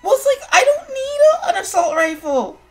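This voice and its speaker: A woman's voice: extremely high pitch, big pitch swings, moderate pace, over music. An American woman streaming casual, opinionated chat in a sarcastic, blunt manner. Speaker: streamer, show host.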